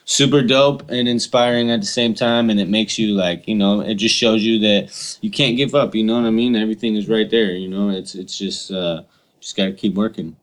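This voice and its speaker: A man's voice: on the low side, steady tone, normal speed. A man hosting a casual podcast chat, talking informally with slang and frequent filler phrases. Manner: informal, slangy, repeats "you know" and "like".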